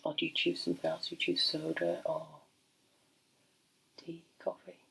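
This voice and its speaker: A middle-aged woman speaking softly in an ASMR doctor role play, breathy and often slipping into a whisper, gentle and unhurried, close to the microphone. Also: low-pitched, very animated, poor audio. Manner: gentle, unhurried, hesitant pauses. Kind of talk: soft-spoken ASMR medical role play.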